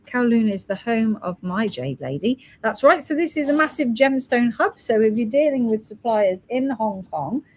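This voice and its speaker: A woman's voice: mid-range voice, slight background noise, low-quality recording. A woman hosting a live stream selling gemstone beads, chatting casually and reading out product codes and prices. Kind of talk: casual live sales chat.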